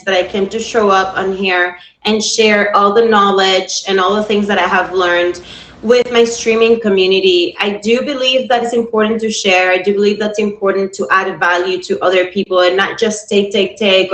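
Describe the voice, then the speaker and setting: A woman's voice: mid-range voice, fairly bright tone. A woman in her thirties from Cuba with a Cuban Spanish accent, hosting a livestream in an upbeat, chatty way and talking straight to her viewers. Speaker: livestream host. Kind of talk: casual livestream talk to viewers. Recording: echoey room.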